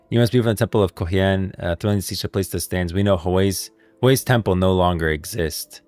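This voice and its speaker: Male streamer, very low voice, casual and rambling lore commentary over faint background music. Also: a little mellow, no room sound. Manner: rambling, thinking aloud, pauses to read out lines.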